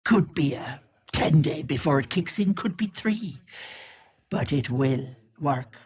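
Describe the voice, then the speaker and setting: Old hag, game villain, fake-sweet auntie, gloating, low-pitched, wildly swooping delivery, theatrical menace. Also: female voice, expressive, slow pace, darkish tone, breathy edge, heavily acted. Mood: folksy, sly. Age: older. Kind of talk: video game character acting.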